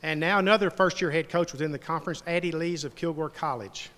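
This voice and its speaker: A man makes introductions as an event announcer. He talks quickly in a fairly high voice for a man. The sound echoes with long reverb in a hall. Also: steady tone, fairly bright tone. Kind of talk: introducing speakers.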